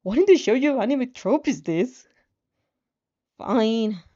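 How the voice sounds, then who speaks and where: A woman's voice: fairly high pitch, lively intonation, slow, warm tone, highs cut off. A woman voicing an exasperated, sarcastic teenage story protagonist with dramatic whining. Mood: sarcastic, resigned.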